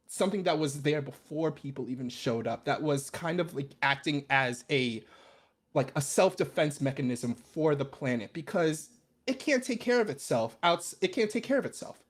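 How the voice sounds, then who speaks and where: A man appearing as a podcast guest, explaining things in a casual, rambling way full of asides. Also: on the high side. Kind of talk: casual chat.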